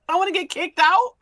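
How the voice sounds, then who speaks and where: A woman in a casual panel discussion, speaking colloquially and acting out imagined remarks in an animated way. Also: extremely high pitch, dry recording. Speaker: podcast live event panelist.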